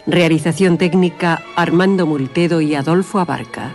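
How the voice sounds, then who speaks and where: A man's voice: on the high side, moderate pace. A man from Spain making a formal, scripted radio announcement. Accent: Spanish from Spain. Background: loud music.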